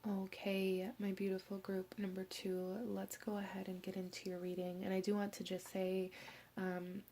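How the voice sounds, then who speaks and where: A young woman gives a tarot reading in a soft, gentle voice, hoarse from a recent cold. Her pitch stays level and even, and she often drops into a near-whisper. Faint noise hisses behind her. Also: normal speed. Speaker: tarot reader. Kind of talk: pick-a-card tarot reading.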